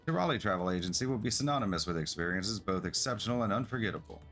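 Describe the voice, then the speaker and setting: A man's voice: fairly low pitch, expressive, rapid-fire, a bit bright. A man reading the lines of an eager, earnest, businesslike travel agency entrepreneur from a fantasy game. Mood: earnest, determined. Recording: music behind the voice.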